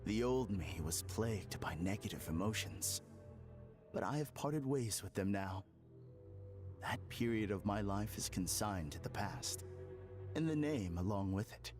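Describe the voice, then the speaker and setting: An actor playing a calm, formal, smugly polite villain in an anime video game, with theatrical delivery. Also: male voice, fairly low pitch, unhurried, music in the background. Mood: courteous. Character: calm, polite, smug male villain claiming perfected happiness.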